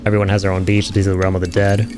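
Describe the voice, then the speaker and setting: A man's voice: low-pitched, monotone, very fast, music in the background. A man giving casual, effusive and rambling reaction commentary as a video host, addressing his viewers directly.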